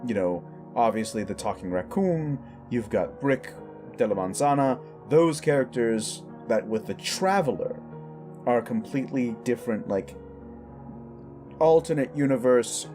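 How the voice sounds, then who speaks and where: A man's voice: mid-range voice, a little slow, music in the background. A man hosting his own audio channel, chatting in a rambling, enthusiastic, casual outro. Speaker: audio creator and host.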